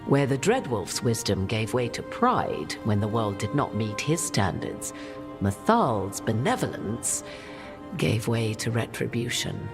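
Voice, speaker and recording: Regal witch, fantasy game, eloquent archaic speech, very lively intonation, music behind. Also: very deep voice, full character voice. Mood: measured, explanatory. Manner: archaic, eloquent, measured phrasing. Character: regal, worldly-wise witch, formal and knowing. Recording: music under the voice.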